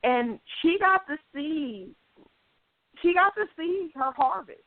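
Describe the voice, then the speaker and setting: A woman's voice: high voice, lively intonation, slow, dry recording. A woman life coach speaking as a radio guest, earnest and hesitant, with many ums. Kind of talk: casual interview chat. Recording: dry, tinny phone-line sound.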